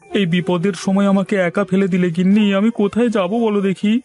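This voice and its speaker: A man's voice: high-pitched, flat delivery, quick pace, darkish tone, soft background music, lightly acted. A man voicing a timid, tearful male servant in a cartoon, pleading and whimpering. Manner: pleading, whimpering, addressing master as babu. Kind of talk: Bengali cartoon voice acting.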